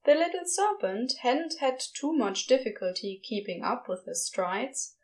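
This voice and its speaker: A woman's voice: high-pitched, sing-song, a little slow. A woman reading scripted fiction as the narrator of an audio story.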